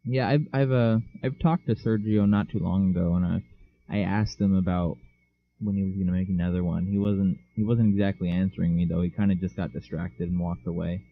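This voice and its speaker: A man's voice: low-pitched, fairly even intonation, brisk, gravelly, dry recording. Joking young man, tinny phone-like sound. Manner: joking, offhand, hesitant restarts. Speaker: podcast co-host. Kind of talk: casual chat.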